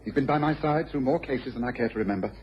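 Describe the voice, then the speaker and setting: A man playing a brisk, hospitable English gentleman detective in a radio drama. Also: medium pitch, lightly acted. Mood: brisk, encouraging. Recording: dull vintage radio recording, faint noise.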